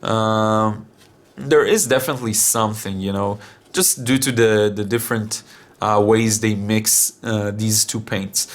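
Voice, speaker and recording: A young man demonstrating watercolor paints, talking casually with many fillers, his voice still a little deep after being sick. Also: unhurried. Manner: relaxed, thinking aloud with many fillers. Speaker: art channel host.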